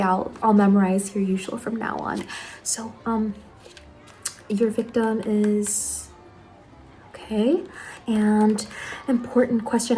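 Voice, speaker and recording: A woman playing an eager, apologetic young saleswoman in an ASMR roleplay, speaking softly, politely and a little hesitantly. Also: on the high side, slight background noise, lightly acted. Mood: polite, businesslike. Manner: soft-spoken, hesitant, polite customer-service talk. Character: Angeline, eager apologetic young saleswoman at macabre pumpkin stall.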